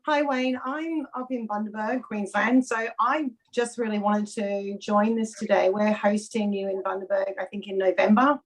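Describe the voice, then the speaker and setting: A woman's voice: medium pitch, rough recording. An Australian woman teacher speaking in a friendly, earnest way with hesitant fillers in a video call.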